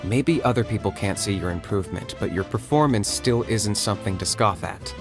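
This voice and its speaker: Man voicing a calm, sensible young guy. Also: on the low side, fairly quick, music in the background, natural voice. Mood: encouraging. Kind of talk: video game voice acting.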